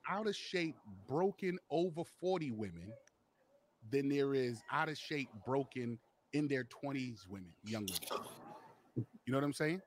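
Guy pushing fifty, teasing and joking around, voice swings all over, mid pitch. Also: expressive, moderate pace, a little hiss or hum. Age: 40s. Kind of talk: casual banter on a podcast.

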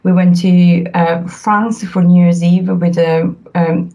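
A woman's voice: on the low side, little pitch movement, takes it slowly, dark tone, rough recording. A woman in her forties from northern Italy with an Italian accent, chatting as an interview guest, hesitant with many fillers and restarts. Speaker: photographer guest. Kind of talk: casual interview chat.

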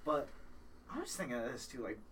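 A man's voice: medium pitch, big pitch swings, a little fast, a crowd in the background. A young man hosting a casual podcast, curious and profane, jumping in with questions. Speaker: podcast host.

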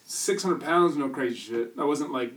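A young man with a Midwestern accent, chatting casually and joking as a podcast co-host, often trailing off into quieter asides. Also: mid-range voice, lively intonation, moderate pace, distant mic. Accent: Midwestern American. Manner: laid-back, joking, drops to quiet asides. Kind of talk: casual chat.

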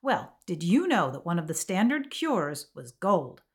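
A woman's voice: fairly low pitch, very animated, unhurried. An actress playing a flighty, theatrical woman with homemade magic ideas, in scripted comic podcast banter, emphatic and argumentative. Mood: manic, insistent. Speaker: podcast co-host.

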